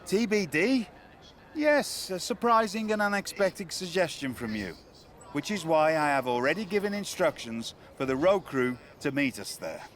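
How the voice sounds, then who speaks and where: Male manager for wrestling game: slightly high voice, formal, wordy, dry theatrical flourish. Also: animated, normal speed, a bit bright. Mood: wry satisfaction. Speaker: voice actor in a wrestling video game.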